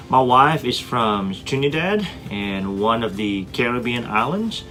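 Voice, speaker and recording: A man in his forties from Indonesia, introducing himself as a teacher in an earnest, prepared talk with frequent "uh" fillers and repeated words. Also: on the low side. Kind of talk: scripted self-introduction. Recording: soft guitar music underneath.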